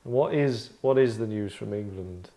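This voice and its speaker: A man hosting an online table read in casual, wry chat, with a deadpan, mock-formal manner. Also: fairly low pitch, measured pace. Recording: roomy echo.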